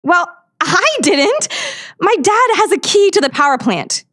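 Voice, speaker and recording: A woman's voice: very high-pitched, sing-song, measured pace, bright, forceful tone, dry recording, studio quality, full character voice. A woman playing an earnest, defiant, naive young woman in a radio drama. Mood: defiant, determined.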